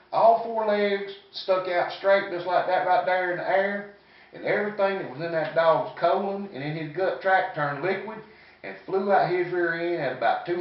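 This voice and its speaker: A man in his fifties with a Southern US accent doing stand-up storytelling in a folksy, rambling, salty-tongued way. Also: high voice. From American South. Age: 50s. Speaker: comedian. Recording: echoey, distant, muffled room recording.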